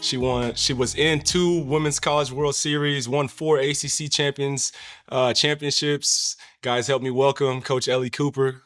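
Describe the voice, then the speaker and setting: A man born in Nigeria and raised in the States hosts a podcast with eager energy, firing off friendly questions in quick bursts. His voice is mid-pitched and casual. Faint music plays underneath him. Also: flat delivery, fairly bright tone. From born in Nigeria, raised in United States. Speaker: podcast host. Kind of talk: casual interview chat.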